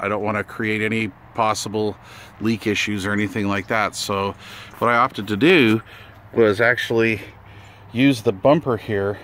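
A man with a fairly low voice talks through his hobby setup in an informal, explanatory way. He records outdoors on a handheld camera, with clear ambient noise behind him. The delivery is plain-spoken and casual. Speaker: amateur radio hobbyist presenting his setup. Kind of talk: casual walkthrough narration. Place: outdoors beside a camper trailer, briefly inside it. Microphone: handheld camera or phone, held by the speaker.

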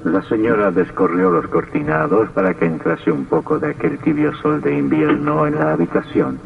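A man's voice: a little high, moderate pace, vocal fry, distant mic. An actor playing a solemn, ardent man of a gothic romance in declamatory old radio melodrama style. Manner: dramatic, declamatory. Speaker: narrator and male lead. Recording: muffled old radio broadcast, music behind.

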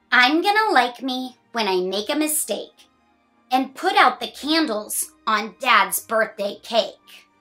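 A woman in her thirties reading a picture book aloud to children in an expressive, encouraging, sing-song way, with chatty, smiling asides. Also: a little high. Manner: giggly, smiling delivery. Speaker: children's read-aloud host. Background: music.

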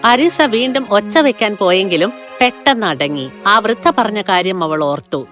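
A woman narrates a children's fairy tale in a scripted storytelling style. Her pitch is average but very lively in its movement, and music plays clearly behind her. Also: normal speed.

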